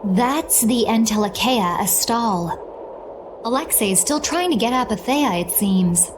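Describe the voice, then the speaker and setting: A woman's voice: on the high side, lively intonation, measured pace, noisy background. A woman playing a serious, analytical young character in a dramatic video game.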